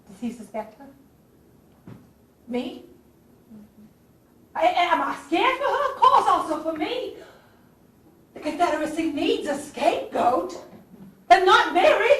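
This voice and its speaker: Woman acting spirited Civil War spymaster, high voice, wry theatrical delivery, distant mic, noisy stage recording. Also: very animated, slow pace, long pauses, fairly bright tone, roomy sound, full character voice. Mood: fearful, resolute. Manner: dramatic, wry storytelling. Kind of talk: theatrical stage monologue and dialogue.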